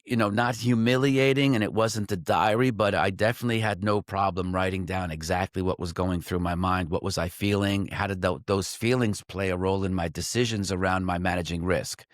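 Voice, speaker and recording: A man with a somewhat low voice speaks as a trading coach, reflecting at length in an unscripted, rambling way. He leans on fillers and often breaks off to restart a thought. The sound is dry and close, with little room around it. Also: fairly even intonation, a little fast, few pauses, no echo. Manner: loose and conversational, heavy on "like" and "um"; restarts and self-corrections mid-sentence. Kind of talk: reflective solo talk to camera.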